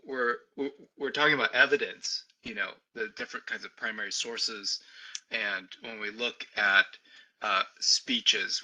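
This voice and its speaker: Bright-voiced hesitant professor, man. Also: lowish voice, rough recording. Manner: hesitant, many stammered restarts. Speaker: history professor hosting the webinar. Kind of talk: academic moderation. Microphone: video call.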